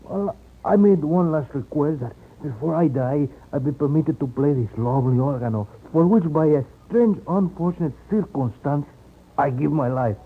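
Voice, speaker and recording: Hapless Mexican prisoner, high-pitched, Mexican accent, formal broken English, doleful, lo-fi 1950s radio western. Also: male voice, normal speed, husky. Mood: mournful, resigned. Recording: lo-fi, hissy old radio broadcast.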